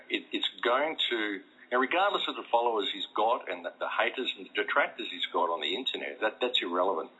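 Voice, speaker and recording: A man's voice: low voice, bright, forceful tone, slightly rough. A middle-aged man with a South Australian accent talking emphatically and insistently as a guest in a conversational interview. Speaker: talk show guest. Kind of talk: animated conversational monologue. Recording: muffled lo-fi internet radio audio.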